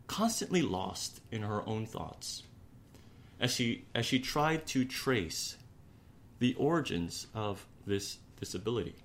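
A man preaching a sermon as a pastor, speaking in a measured, earnest way with deliberate pauses and repetition. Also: mid-range voice, a bit bright.

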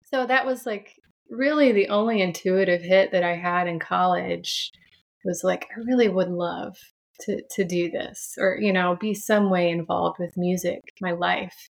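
A woman's voice: on the low side, fairly even intonation, measured pace, creaky. A woman, telling her story thoughtfully as a podcast guest. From Franklin, Tennessee, USA. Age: 30s. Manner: reflective, many restarts and soft laughs. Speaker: podcast guest, sound healer. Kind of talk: casual interview chat.

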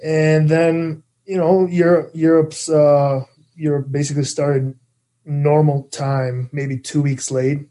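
A young man from the Czech Republic, a Czech native speaker, answering interview questions in English in a relaxed, halting way with many fillers. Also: fairly high pitch. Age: young adult. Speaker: guest, pro hockey player. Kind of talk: casual interview chat.

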